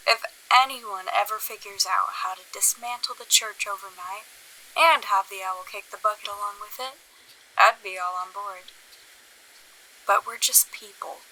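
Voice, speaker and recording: A woman playing a weary, pragmatic confidante in an audio drama, speaking frankly and with measured pauses. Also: mid-range voice, sharp tone, poor audio. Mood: earnest, consoling. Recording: faint hiss.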